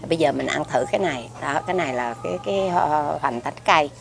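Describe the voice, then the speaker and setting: A middle-aged woman with a Southern Vietnamese accent reviews food to a camera across a restaurant table. Her voice is low and gravelly, and she rambles warmly, repeating words and leaning on fillers. Faint music plays behind her. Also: fast. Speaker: food vlogger. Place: restaurant table by a window, indoors.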